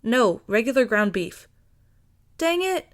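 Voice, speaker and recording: A woman voicing a tiny, grouchy loudmouth woman in an audiobook, bossy and exasperated but fond. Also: on the high side, big pitch swings, slow pace. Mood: huffy, teasing. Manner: huffy, bossy, complaining.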